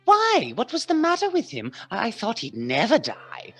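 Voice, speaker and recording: Casting: female actor as flippant, prying gossip, wildly varied intonation, raised pitch, for a radio play. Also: huge pitch swings, normal speed, slightly rough, full character voice. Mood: nosy, flippant. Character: nosy, flippant gossiping business acquaintance. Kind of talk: classic radio play acting.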